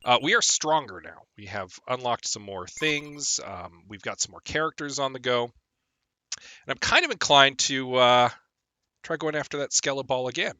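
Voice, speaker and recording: A man's voice: medium pitch, lively intonation, normal speed, bright, forceful tone, clean tone, no echo. A young man chatting casually while streaming a game, rambling with lots of ums, a bit phlegmy from a lingering cold. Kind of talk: casual gameplay stream chat.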